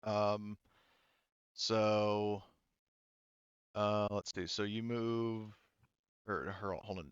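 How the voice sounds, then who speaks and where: A man acting as game master of a tabletop roleplaying game, explaining rules and often pausing to think aloud. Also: on the low side, fairly bright tone, clear, resonant tone, no echo, lo-fi sound.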